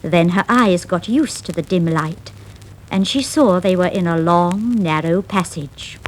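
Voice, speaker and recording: A woman narrating a scripted children's fable in a dramatic, expressive storytelling style. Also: lowish voice. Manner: dramatic, expressive, deliberate phrasing. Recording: noisy 1960s vinyl record.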